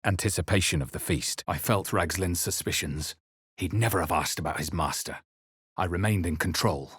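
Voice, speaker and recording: An actor playing a brooding video game hero, narrating in a measured, solemn, ominous storytelling manner. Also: male voice, deep voice, fairly bright tone, husky, no echo, clean studio sound, full character voice. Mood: dark, solemn. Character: brooding adventurer hero recounting dark visions. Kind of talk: dramatic first-person scripted game narration.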